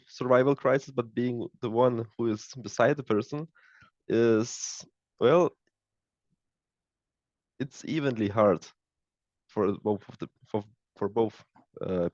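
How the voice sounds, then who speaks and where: Young lithuanian guy being interviewed, talks slow and hesitant, dry muffled lo-fi mic. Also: medium pitch, darkish tone, dry recording. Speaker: startup co-founder, podcast guest. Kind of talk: casual interview answers.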